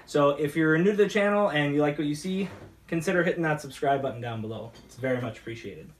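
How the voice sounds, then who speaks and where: A man's voice: on the high side, fast talker. A chatty, enthusiastic man hosting a casual talk show, bantering as he mixes drinks. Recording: roomy, distant mic with noise and clicks.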